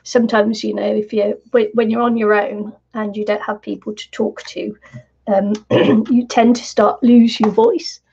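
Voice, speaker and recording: Older woman craft host, croaky and gravelly, rambling and friendly, lo-fi live stream sound. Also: mid-range voice, level tone, moderate pace, warm tone. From New Zealand. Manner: chatty, laughs often, many fillers. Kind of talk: casual live stream chat. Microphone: computer mic on live stream. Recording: dull lo-fi stream audio.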